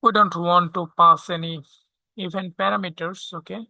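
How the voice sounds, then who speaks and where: A tutorial instructor walking through software step by step, often checking in with a short questioning tag. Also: medium pitch, fairly even intonation, moderate pace, vocal fry, dry recording. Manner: checks understanding with frequent "okay?".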